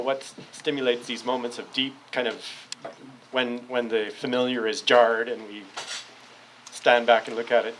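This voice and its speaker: A man's voice: mid-range voice, a bit bright, a little hiss or hum, low-quality recording. A man leading a public philosophy discussion, speaking thoughtfully and searchingly, often restarting his phrases. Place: public café discussion room.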